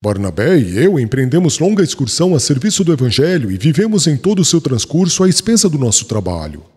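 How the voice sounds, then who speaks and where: Man playing a husky zealous apostle in reverb. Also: fairly high pitch, big pitch swings, fast talker, a little mellow. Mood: resolute, persuasive. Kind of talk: audiobook dramatized dialogue. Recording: long reverb, as in a hall.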